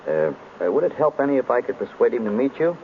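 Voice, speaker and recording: Polite concerned man, animated delivery, 1930s radio drama, faintly noisy recording. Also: mid-range voice, fast, narrow, tinny audio. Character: earnest, worried gentleman seeking a detective's help.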